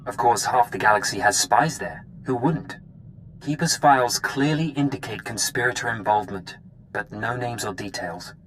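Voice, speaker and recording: A man's voice: mid-range voice, normal speed, fairly bright tone, a little hiss or hum, natural voice, low-quality recording. A man playing a blunt, dismissive intelligence officer in a video game briefing, dry and faintly disdainful. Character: blunt, dismissive male Imperial intelligence watcher.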